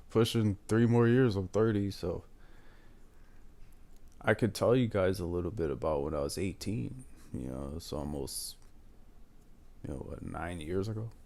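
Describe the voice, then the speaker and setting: A man's voice: on the low side, a little mellow. A man in his twenties talking casually and candidly, giving life advice and often adding "man". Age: 20s. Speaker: advice channel host.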